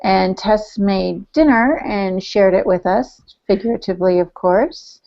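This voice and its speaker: A woman's voice: medium pitch, measured pace, gravelly. A woman co-hosting a podcast, chatting casually as she relays listener comments. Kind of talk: casual chat. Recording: muffled lo-fi podcast audio.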